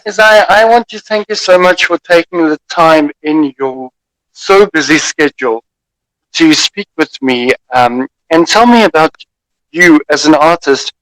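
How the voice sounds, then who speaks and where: South African radio host, Afrikaans native, halting with fillers, fairly high voice, dry sound. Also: male voice, expressive, no echo, rough recording. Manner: warm, hesitant, with many fillers. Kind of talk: interview over video call. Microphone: video call.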